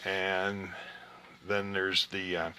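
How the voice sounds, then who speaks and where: A man hosting an amateur radio session, chatting casually and explaining things in an unhurried way. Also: low voice, monotone, sharp tone, slight background noise. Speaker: amateur radio operator hosting an on-air QSO party. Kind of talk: casual ham radio chat.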